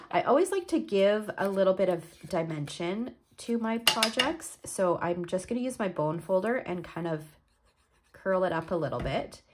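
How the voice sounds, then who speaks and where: A woman's voice: lowish voice, a little hiss or hum. A woman from Canada explaining a card-making project step by step while she works, a little stuffed up from a cold. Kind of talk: crafting tutorial.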